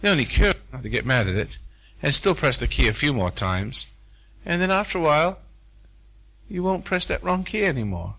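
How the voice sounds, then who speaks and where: An older man holds forth to a seminar audience in a hotel function room. His voice is bright and forward, his manner folksy and sermon-like, driving points home by saying them twice. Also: fairly high pitch, big pitch swings, brisk, creaky, poor audio. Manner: folksy, preacherly, repeats phrases for emphasis. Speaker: seminar speaker. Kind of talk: live seminar talk with audience.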